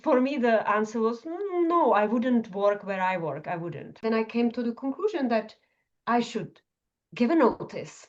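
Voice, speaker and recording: A woman's voice: a little high, lively intonation, normal speed, highs cut off. A woman guest on a podcast, chatting casually and laughing often. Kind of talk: casual conversational interview.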